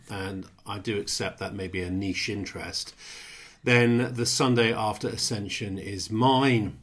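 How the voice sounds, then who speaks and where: Middle-aged priest, measured and gently wry. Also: male voice, medium pitch. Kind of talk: sermon and psalm reading. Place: small room at home with icons and a candle.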